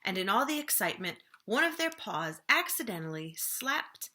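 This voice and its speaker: A woman reading a storybook aloud for children, with expressive, dramatic phrasing that often drops softly at the ends of phrases. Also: mid-range voice, moderate pace.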